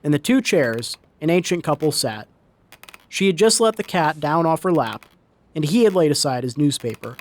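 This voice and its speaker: Lively, higher-pitched male story narrator. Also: moderate pace. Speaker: narrator, reader. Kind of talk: dramatic scripted story narration. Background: story sound effects.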